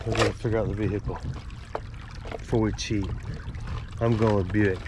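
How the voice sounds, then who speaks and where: A man's voice: lowish voice, steady tone, moderate pace, heavy background noise. A man with a Southern accent and a stuffed-up nose, narrating casually and rambling in a folksy, self-amused way while wading through a creek. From Alabama. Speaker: creek explorer vlogger. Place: outdoors wading in a city creek.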